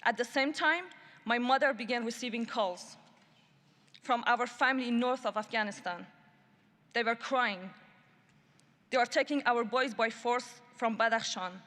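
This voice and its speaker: A woman's voice: a little high, a bit bright. Emphatic woman speaking in a reverberant hall. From Iran. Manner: deliberate, measured and emphatic. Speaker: speaker at a human rights summit. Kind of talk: prepared speech. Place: large conference hall.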